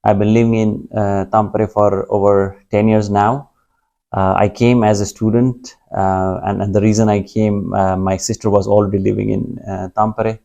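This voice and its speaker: A man's voice: on the low side, little pitch movement, moderate pace, gravelly, warm tone, lo-fi sound. A man from Nepal in his thirties, a guest speaking in a conversational interview, hesitant with many fillers and restarts.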